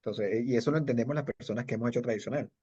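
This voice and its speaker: A middle-aged Venezuelan man speaking Spanish with a Venezuelan accent, an interviewee recalling his past at a brisk clip. He rambles, circling back with fillers and restarts as he goes. The audio is dull and lo-fi, as if from a video call. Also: mid-range voice, warm tone, breathy edge. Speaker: kung fu master and judge, interviewee. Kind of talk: casual interview storytelling.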